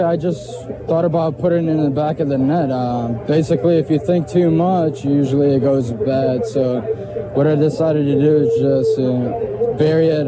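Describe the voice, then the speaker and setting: A man's voice: mid-range voice, low-quality recording. A young man, a college soccer player, giving plain, slightly hesitant post-game answers into a handheld microphone outdoors. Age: young adult. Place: outdoors on a soccer field at night. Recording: loud outdoor field noise.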